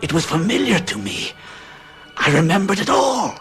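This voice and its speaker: An actor playing a haunted, frightened man who tells his story with halting, dramatic intensity in an old radio horror drama. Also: a little high, huge pitch swings, fairly bright tone, faint music behind. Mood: agitated, overwhelmed.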